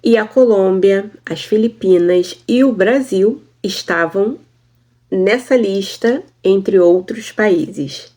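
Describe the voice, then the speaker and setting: A woman's voice: medium pitch, slow pace, warm tone. A woman from Brazil telling her story casually to camera, often laughing and explaining things for her husband. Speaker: vlogger.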